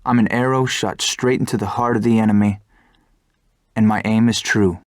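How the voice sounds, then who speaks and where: An actor playing a determined young fighter pilot hero in a video game, earnest and resolute, recorded dry over music. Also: male voice, on the low side, steady tone, moderate pace, no echo, close to an everyday voice. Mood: solemn, defiant. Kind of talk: video game cutscene acting and dramatic monologue.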